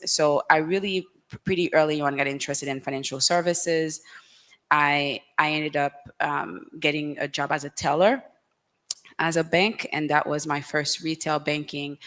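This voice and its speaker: Low-voiced woman speaking on stage. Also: fairly bright tone. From Haiti. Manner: measured, explanatory, with frequent ums. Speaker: fintech founder and CEO. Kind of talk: panel conversation on stage. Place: conference stage. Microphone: handheld microphone.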